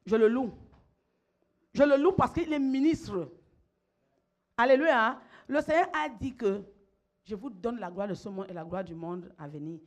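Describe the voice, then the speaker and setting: A woman's voice: fairly high pitch, measured pace, long pauses. A middle-aged woman pastor, preaching emphatically, repeating phrases and calling on her listeners to respond. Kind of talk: sermon.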